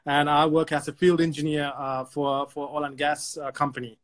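A man's voice: on the high side, level tone, normal speed. A man in his thirties, born in Sri Lanka, telling his story as a podcast guest over a video call, chatty and often repeating words. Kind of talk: casual storytelling.

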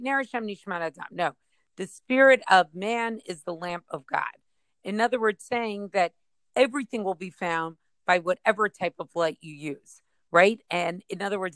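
A woman's voice: medium pitch, a bit bright, no echo. Woman musing through a Talmud passage, dry sound. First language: English. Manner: thinking aloud with many fillers and self-corrections; reads Aramaic passages then paraphrases. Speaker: study partner. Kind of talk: casual Talmud study discussion.

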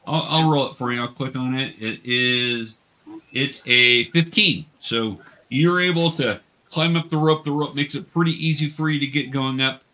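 A man's voice: mid-range voice, bright, forceful tone. A man patiently guiding a tabletop role-playing game as game master, encouraging players and often restarting his phrases. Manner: encouraging, patient, halting with self-repeats.

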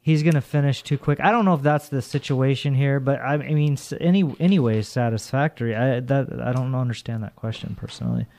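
A man with a deep, bassy voice hosting a casual call-in radio show, rambling and hesitant as he answers. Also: fairly quick, creaky, few pauses, darkish tone. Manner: rambling, hesitant, laid-back.

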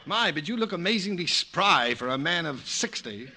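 On a crackly old radio recording, a flustered, jealous husband sputters with sarcasm. His voice is fairly high and jumps around in pitch, in 1950s comedy style. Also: big pitch swings, normal speed. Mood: bitterly sarcastic. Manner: exasperated, sarcastic, stammering. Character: flustered, jealous husband caught between two wives.

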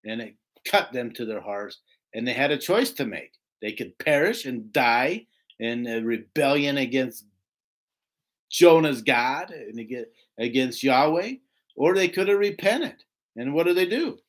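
A man's voice: mid-range voice, lively intonation, a little slow. A man giving an informal Bible lesson, reading and explaining scripture in an emphatic, rhetorical way that keeps checking for agreement. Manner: emphatic, rhetorical, often seeking agreement with "right?". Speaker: Bible teacher.

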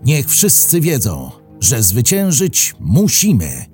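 A man reads a historical military proclamation in a dramatic style. His voice is medium in pitch and dark in tone, with faint music playing behind it. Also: huge pitch swings, slow pace. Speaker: reader of historical texts.

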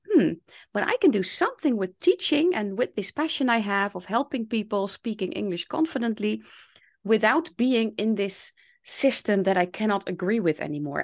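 A woman in her forties with a Dutch accent, talking as a guest on a podcast. She tells her story in long, reflective stretches and voices her own past thoughts aloud. Her voice sits at a middle pitch. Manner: reflective, speaks in long runs with quoted inner thoughts. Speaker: English coach, guest.

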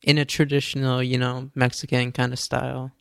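A man's voice: mid-range voice, monotone, brisk, gravelly, fairly bright tone, clear, resonant tone, dry recording, studio quality. A man chatting casually as a podcast co-host, easygoing and filling his talk with "like". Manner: easygoing, filler-heavy with "like". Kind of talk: casual chat. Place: Los Angeles.

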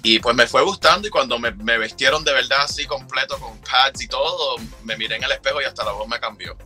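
Cuban guy in his thirties, super bright tone, easygoing, laughs a lot. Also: medium pitch, brisk, faint music behind. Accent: Cuban Spanish. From Cuba. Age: 30s. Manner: relaxed, chatty, laughs often, many fillers. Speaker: guest, drag performer and singer. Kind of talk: casual interview chat.

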